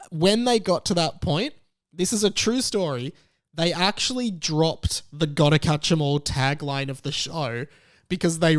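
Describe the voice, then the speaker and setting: A man in his thirties hosting a podcast, giving casual solo commentary in a chatty, animated storytelling way. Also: fairly high pitch. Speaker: podcast host.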